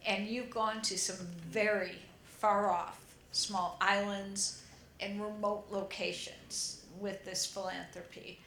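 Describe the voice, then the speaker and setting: A woman's voice: mid-range voice, flat delivery, slow, a bit bright, a little hiss or hum. A woman moderating a conference panel with brief, friendly prompts to the speakers. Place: conference hall. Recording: roomy hall with faint ambience.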